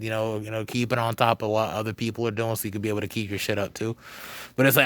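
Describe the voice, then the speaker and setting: Rambling young podcast host, lowish voice. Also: male voice, no echo. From Charlotte, North Carolina. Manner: rambling, filler-heavy, swings between loud and quiet. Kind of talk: casual conversational interview.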